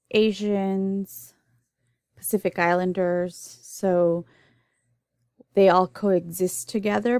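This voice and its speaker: A woman's voice: medium pitch, darkish tone, no room sound, studio quality. A young adult woman, born in El Salvador and raised in the US, teaching a recorded nursing lecture in an explanatory way with frequent ums and restarts. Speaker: nursing instructor.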